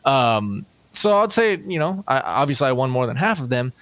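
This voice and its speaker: A man's voice: medium pitch, big pitch swings, moderate pace, fairly bright tone, dry recording. A young man hosting a podcast, laid-back, laughing often and swearing casually. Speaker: podcast host. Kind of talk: casual chat.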